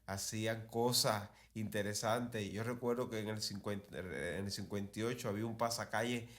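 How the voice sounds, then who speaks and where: A man's voice: fairly low pitch, steady tone, normal speed, a bit bright. A man with a Cuban accent hosting a conversational talk on cultural history, telling it like a digressive storyteller who repeats phrases for emphasis. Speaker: podcast host.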